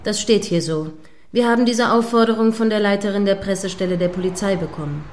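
A woman acts out a female radio news presenter in a mock live broadcast. Her voice sits at a medium pitch. She is earnest and prim, setting out long, formal sentences in a measured, correcting manner. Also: darkish tone, slight background noise, natural voice. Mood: official, grave. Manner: formal, measured, wordy phrasing.

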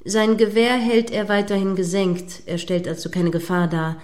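A woman's voice: mid-range voice, little pitch movement, moderate pace, a little mellow, a little hiss or hum, close to an everyday voice. A woman playing an indignant, moralizing radio reporter giving live commentary on a standoff in a radio play. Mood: tense commentary.

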